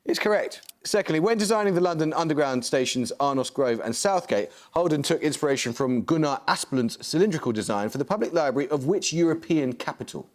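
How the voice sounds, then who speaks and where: A man hosting a student quiz show, reading questions and giving rulings in a crisp, brisk manner. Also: fairly high pitch, lively intonation. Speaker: quizmaster.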